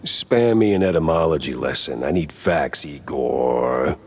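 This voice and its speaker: An actor playing a world-weary, wisecracking mouse private detective in a noir video game, gruff, gravelly and deadpan. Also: male voice, extremely low pitch, measured pace, full character voice. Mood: impatient. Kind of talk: noir game cutscene acting.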